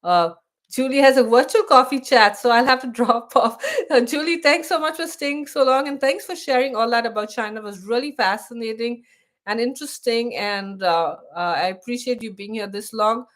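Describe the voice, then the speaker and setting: A woman's voice: a little high, normal speed, a bit bright. Chatty Indian woman hosting a live stream. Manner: chatty, many restarts, reads text aloud. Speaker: host of a live stream for expats. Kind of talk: casual live stream chat.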